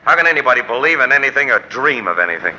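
An actor playing a world-weary, gruff but soft-hearted newspaper photographer in slangy 1940s radio style. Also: male voice, mid-range voice, very animated, rapid-fire. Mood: bitter, matter-of-fact. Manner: slangy, wry tough talk. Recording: noisy, dull 1940s radio recording.

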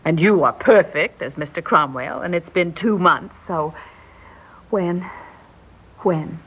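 A woman's voice: lowish voice, slow pace, husky. An actress in a 1960s radio drama playing an adoring, anxious female accomplice, with a breathless romantic manner. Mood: impatient. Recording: dull old radio recording.

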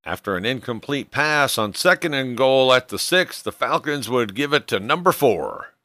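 A man's voice: medium pitch, lively intonation, moderate pace, sharp tone. A man hosting a sports show, narrating a scripted recap of a football game.

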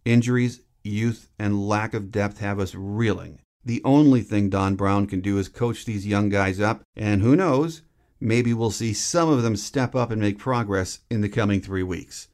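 A man's voice: fairly low pitch, unhurried, darkish tone. An American man hosting a podcast, delivering scripted sports commentary. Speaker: podcast host.

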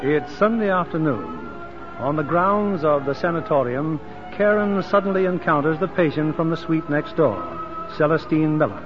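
A man's voice: fairly high pitch, moderate pace. A man hosting and narrating a 1940s radio drama in a scripted style. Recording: muffled 1940s radio broadcast. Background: music.

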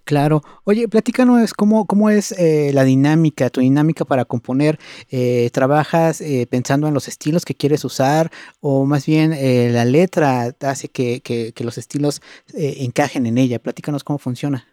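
A male interviewer asks measured, formal questions with the odd filler. His voice is a little high but mellow in tone. The sound is dry and close. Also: dry recording.

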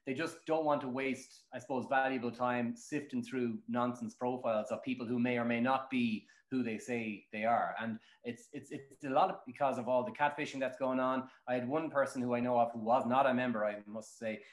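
A man's voice: mid-range voice, steady tone, brisk, hardly any pauses. An Irish man answering interview questions as a matchmaker, in a colloquial, emphatic storytelling manner. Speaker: matchmaker guest. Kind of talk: animated interview answers with anecdotes. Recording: roomy, echoing sound.

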